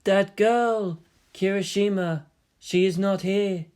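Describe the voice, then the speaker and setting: Man voicing a high, sly female phantom. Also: fairly even intonation, takes it slowly, darkish tone, clear, resonant tone. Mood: sly, coaxing.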